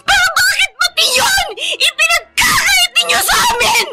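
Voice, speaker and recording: An anguished young Filipino daughter in a radio drama. Her very high voice pleads plaintively and strains toward a cry, with loud music underneath. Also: expressive, moderate pace. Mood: anguished, tearful. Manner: plaintive, emotional.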